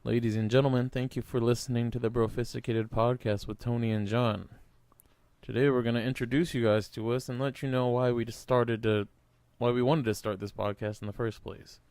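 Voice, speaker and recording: A man's voice: mid-range voice, level tone, a little fast, a little mellow, clear, resonant tone, no echo. A young man co-hosting a casual podcast, laid-back and agreeable, often backing his partner with short yeahs. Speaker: podcast co-host. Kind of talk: casual chat.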